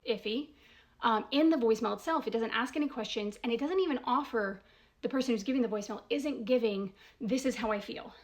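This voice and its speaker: A woman's voice: fairly high pitch, a little fast. A woman giving a casual spoken analysis as a commentator, reasoning step by step with emphasis, asking probing rhetorical questions and often checking in with "right?". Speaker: commentator breaking down a voicemail.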